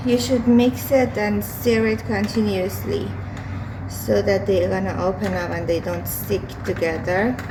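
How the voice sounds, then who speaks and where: A woman with a hoarse voice chats slowly through a home vlog, pausing often on fillers. Her pitch sits in the middle range. Clear street and vehicle noise drifts in through open windows behind her. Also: fairly even intonation. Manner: slow, unhurried, hesitant with many fillers. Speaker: vlogger. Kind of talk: casual daily vlog while cooking. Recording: home recording with outside traffic noise. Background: street and vehicle noise from outside.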